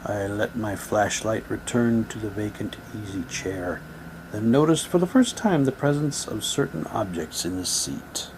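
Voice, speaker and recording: A man's voice: on the low side, lively intonation, measured pace, a little mellow, slight background noise, rough recording. A man doing a casual unboxing, talking in a rambling, repetitive way and reading printed items aloud. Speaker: hobby vlogger unboxing audio drama sets.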